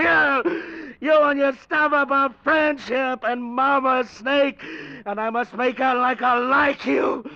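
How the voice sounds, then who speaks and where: An actor playing a paranoid, hysterical man who rages with a gravelly, strained voice in a 1940s radio drama. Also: very high voice, heavily acted. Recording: dull old radio recording.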